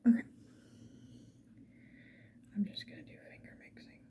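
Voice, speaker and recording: A woman doing casual ASMR, whispering softly and musing to the viewer in an unhurried get-ready-with-me chat. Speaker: ASMR video creator. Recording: muffled lo-fi with background hiss.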